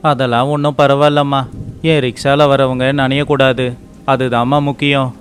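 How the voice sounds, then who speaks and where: A man playing a poor, devout, hardworking rickshaw driver and devoted husband in an animated moral story, earnest, pleading and respectful. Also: medium pitch, moderate pace, soft background music, lightly acted.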